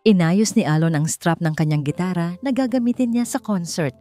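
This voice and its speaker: Low, mellow Filipino woman narrating over music. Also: brisk. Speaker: story narrator. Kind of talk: scripted dramatic narration of a romance story. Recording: music bed under the voice. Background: background music.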